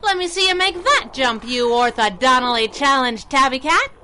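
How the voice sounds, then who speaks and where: A woman's voice: sits high, animated, normal speed. A woman playing a plucky, sassy young masked sidekick in radio adventure style, tossing out teasing quips, with noisy effects behind her. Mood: breezy, excited.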